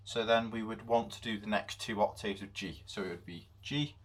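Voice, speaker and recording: A man with a deep voice giving an unscripted music theory lesson, with many pauses and fillers. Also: fairly even intonation, a bit bright, slightly rough. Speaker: music teacher.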